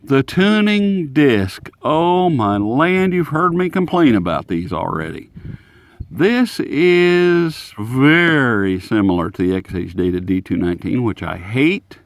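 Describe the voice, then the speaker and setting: A man's voice: medium pitch, big pitch swings, slow pace. A man hosting a casual, unscripted radio review in a folksy, jokey, rambling manner. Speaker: host of radio review videos.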